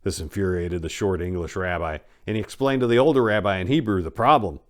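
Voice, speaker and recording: Male memoir narrator with a fairly low voice, dramatic reading style, punching key words and letting asides fall away. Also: fast.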